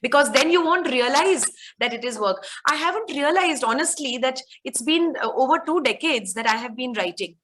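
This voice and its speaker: A middle-aged Indian woman with a high voice, speaking as an author in an interview. She thinks aloud, circles back and restarts her sentences as she goes. Her tone stays bright and lively. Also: normal speed, a little hiss or hum. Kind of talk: conversational interview answers.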